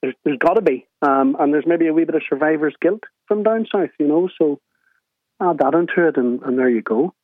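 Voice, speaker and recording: A man from Northern Ireland with a Northern Irish accent, calling in to a radio show and chatting in a relaxed way. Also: a little high, a little fast, no echo. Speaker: radio caller. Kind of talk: casual phone-in chat. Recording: tinny phone line.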